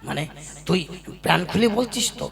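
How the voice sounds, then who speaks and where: Man acting a gravelly, wheedling old husband in puppet comedy. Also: sits high, lively intonation, a little fast, fairly bright tone, heavily acted. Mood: sweet-talking. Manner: coaxing, exaggerated sweet-talk with folksy exclamations. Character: wheedling ageing husband, scheming singing-troupe master. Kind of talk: comic folk puppet-play acting.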